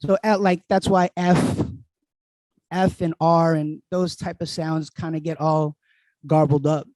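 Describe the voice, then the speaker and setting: A man's voice: on the high side, flat delivery, darkish tone, no room sound. A man from Queens, a guest DJ chatting casually and laughing easily on a podcast, talking into a handheld mic. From Queens, New York. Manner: laid-back, laughs often, drawn-out explanations. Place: small bright room at home. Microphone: handheld dynamic mic held close.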